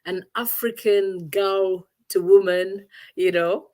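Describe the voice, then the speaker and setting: A woman's voice: medium pitch, fairly even intonation, slow pace, darkish tone. A middle-aged woman from Uganda, a guest in an interview, answering thoughtfully and searching for her words with frequent restarts.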